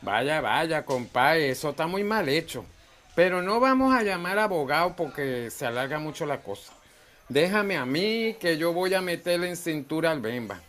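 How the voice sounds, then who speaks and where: A man's voice: a little high, very animated, moderate pace, a bit bright. A man playing a jovial, bossy Venezuelan bar owner in a humorous radio soap, with a folksy, exclamatory way of talking. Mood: hearty, bossy.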